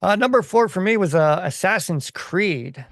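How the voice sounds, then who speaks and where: A man co-hosting a podcast in casual discussion, explaining his opinions in a halting, conversational way. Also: sits high, lively intonation, creaky, very noisy. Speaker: podcast co-host.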